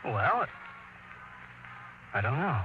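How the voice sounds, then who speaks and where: A man's voice: mid-range voice, big pitch swings, very slow, music in the background. An actor playing an anxious, disbelieving crewman in a 1950s radio drama, plain-spoken and pleading, with an American accent. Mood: incredulous. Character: anxious, disbelieving male crewman, loyal to his captain. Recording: muffled, noisy old radio broadcast.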